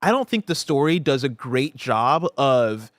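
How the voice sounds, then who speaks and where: A man's voice: mid-range voice, big pitch swings, measured pace, no echo. A man hosting a games news show, talking in a casual, analytical, conversational way. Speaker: podcast host.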